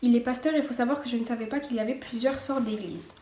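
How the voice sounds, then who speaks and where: A woman's voice: on the high side, quick pace, darkish tone. A teenage girl giving a casual personal testimony, partly read from notes, hesitant with many fillers and restarts. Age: teens. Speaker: vlogger. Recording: echoey room, faint background noise.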